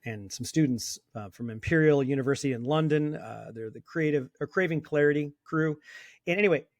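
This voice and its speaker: A man's voice: medium pitch, dry recording, studio quality. A man in his fifties, a sober coach speaking as a guest in a casual interview, talking bluntly and emphatically. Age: 50s. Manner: emphatic and blunt, with occasional swearing.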